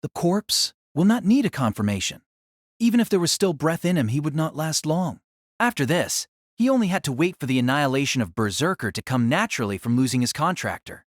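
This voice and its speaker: A man's voice: a little high, big pitch swings, fairly quick, no room sound, studio quality. A man reading scripted novel narration for an audio drama.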